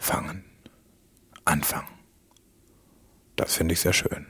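A man delivering a halting, brooding spoken-word monologue for radio, repeating phrases and often dropping toward a whisper. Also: very deep voice, fairly even intonation. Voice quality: husky, breathy.

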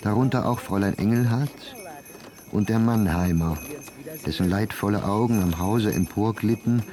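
A man's voice: lowish voice, normal speed, warm tone. A man reading scripted literary narration for a radio play.

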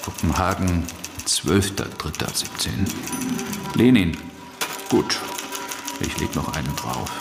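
Low-voiced scheming dealmaker, echoey hall. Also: male voice, lively intonation, slow, creaky, pauses often, music in the background, exaggerated voice. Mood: brisk, smug. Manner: clipped, brash, self-assured. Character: confident scheming male political dealmaker dictating urgent messages. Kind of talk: German radio play acting. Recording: echoey hall, long reverb.

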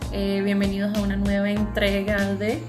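A woman's voice: medium pitch, completely flat delivery, normal speed. A woman co-hosting a podcast in a casual, humorous, chatty style. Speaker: podcast co-host. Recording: roomy echo, music behind voice. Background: music.

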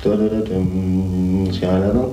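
A man's voice: low-pitched, level tone, takes it slowly, dark tone, echoey room, faint music behind, poor audio. A man casually singing a symphonic theme from memory in wordless syllables, as an interviewee.

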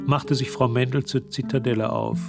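A man's voice: mid-range voice, little pitch movement, moderate pace, creaky, loud music behind the voice, lightly acted. A man narrating a radio drama as a composed storyteller, reading scripted prose close to the microphone. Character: composed male storyteller of a mystery tale. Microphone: close, dry studio microphone.

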